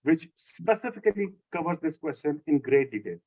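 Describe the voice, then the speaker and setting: Man teaching, slightly high voice. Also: fairly quick, lo-fi sound, a little hiss or hum. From Lahore, Pakistan. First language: Urdu. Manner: explanatory, steady, repeats key phrases. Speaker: instructor. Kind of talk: answering a student's question in an online class.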